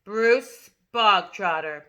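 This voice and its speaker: A woman voicing a bullying female headmistress, barking and bellowing insults in a slow, loud voice. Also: a little high, expressive, muffled recording.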